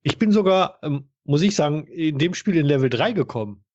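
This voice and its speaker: A man's voice: a little high, animated, fairly quick, a little mellow. A man in a casual podcast discussion of retro video games, talking in an informal, explanatory way. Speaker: podcast panelist. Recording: dull, band-limited sound.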